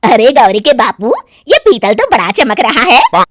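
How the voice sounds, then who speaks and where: A woman's voice: a little high, sing-song, unhurried. A woman voicing a greedy, bossy middle-aged village mother-in-law, pushy and scolding, in a cartoon story. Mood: nosy, surprised.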